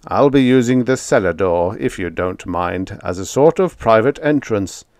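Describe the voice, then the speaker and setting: A man voicing a secretive, nervous male vampire lodger who talks in a loud, clipped, guarded way. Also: lowish voice, animated, normal speed, no room sound, clean studio sound.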